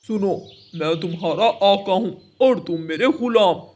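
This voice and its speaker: A man's voice: high voice, measured pace, darkish tone. A man voicing a lazy, scheming crow in a cartoon story, grumbling and bossy. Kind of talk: Hindi cartoon story dialogue.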